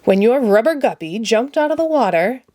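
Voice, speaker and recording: A woman's voice: a little high, lively intonation, normal speed, warm tone, voice pushed up, lightly acted. A woman voicing a pompous, bumbling male puppet detective from a children's storybook, declaiming grandly. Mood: muddled. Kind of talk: children's storybook read-aloud.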